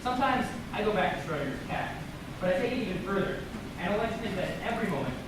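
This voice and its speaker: A man playing an intense, awkward young space obsessive on stage. He speaks earnestly and catches on his words, repeating them. The recording is distant, with a big echo and loud noise. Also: high-pitched, big pitch swings, racing. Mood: earnest, passionate. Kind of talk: amateur stage play dialogue. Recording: very echoey, noisy stage, distant microphone.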